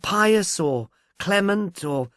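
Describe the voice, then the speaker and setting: A man's voice: high voice, animated, takes it slowly, fairly bright tone, no room sound, studio quality, voice pushed up, exaggerated voice. A man voicing an amiable, slightly obtuse police detective in an audiobook, chatty and musing, earnestly puzzled. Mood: puzzled, aggrieved. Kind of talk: audiobook character dialogue.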